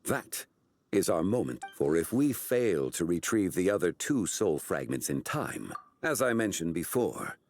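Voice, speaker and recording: A man voicing a composed, scholarly ancient adviser in a video game, speaking in a formal, solemn and deliberate way. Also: sits low, very animated, darkish tone, slight background noise. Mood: grave, measured. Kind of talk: measured dramatic video game voice acting. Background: music.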